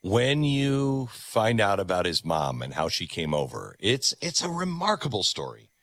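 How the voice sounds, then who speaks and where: A man's voice: lowish voice, very animated, measured pace, fairly bright tone. A man with an American accent hosting a talk show, giving conversational commentary in an emphatic way, repeating phrases for effect. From United States.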